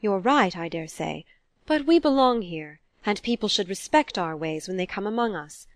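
A woman's voice: mid-range voice, animated, moderate pace, no room sound, natural voice. Woman acting a prim, disapproving society matron. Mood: indignant, disapproving. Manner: indignant, genteel, insistent. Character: proper, disapproving older society mother.

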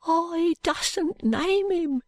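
Casting: female narrator playing a wretched, wary Cockney urchin in a storybook, high and breathy, halting and hushed. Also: no room sound, studio quality, heavily acted. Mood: apprehensive, hushed. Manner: hushed, halting, uneducated speech. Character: frightened, ragged London street boy, wretched and wary.

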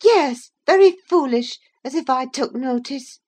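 A woman's voice: high-pitched, lively intonation, measured pace, darkish tone, voice pushed up. A woman voicing a petulant, flighty teenage girl with a peevish, exclaiming manner in a classic novel audiobook. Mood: petulant, cross. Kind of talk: audiobook character acting.

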